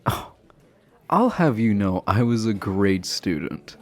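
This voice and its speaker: Man playing a deep-voiced flirty himbo jock. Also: very animated. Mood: playful teasing. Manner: halting, bashful chuckling, teasing. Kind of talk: romantic roleplay audio acting, addressed to listener.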